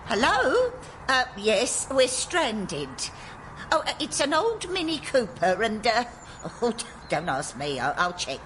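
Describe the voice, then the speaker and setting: Animated, flustered British landlady. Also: a little high, a little slow, noisy background. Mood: flustered, chatty. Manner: chatty, flustered. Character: kindly, easily flustered female landlady. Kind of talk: radio sitcom acting.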